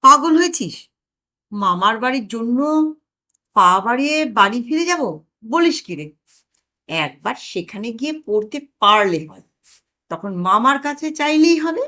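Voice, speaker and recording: A woman's voice: fairly high pitch, big pitch swings, normal speed, pauses often, bright, forceful tone. A woman voicing a blustering, scheming older family man in a comic audio story, exclaiming and sure of himself. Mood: scolding, confident.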